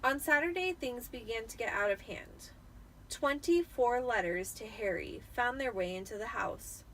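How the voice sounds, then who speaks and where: A woman's voice: mid-range voice, a little hiss or hum, poor audio. A tipsy woman giving an amateur dramatic reading of a storybook. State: tipsy after drinking wine.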